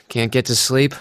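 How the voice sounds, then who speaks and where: An earnest young student in a fantasy game. His medium-pitched voice moves expressively up and down. The phrasing is casual and considerate, captured in a dry studio sound. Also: breathy edge, dry recording, exaggerated voice. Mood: relaxed, friendly. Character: earnest, kind young student from a humble noble family. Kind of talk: English-language game voice acting.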